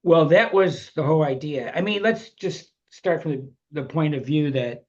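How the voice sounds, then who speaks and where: A man's voice: on the high side, animated, moderate pace, muffled recording. A man chatting as a podcast guest, reflective and rambling, with many restarts and fillers. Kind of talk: casual interview chat.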